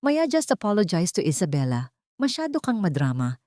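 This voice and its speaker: A woman's voice: lowish voice, very animated, normal speed, warm tone, dry recording, clean studio sound. Woman voicing a cold, dismissive husband. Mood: cold impatience. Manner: curt, indifferent.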